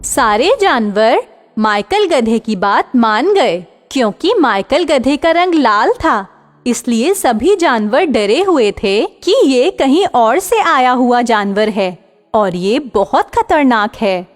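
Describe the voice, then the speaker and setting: A woman narrating a children's cartoon story in an expressive storytelling manner. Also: a little high, slow, in a large hall, faint music behind.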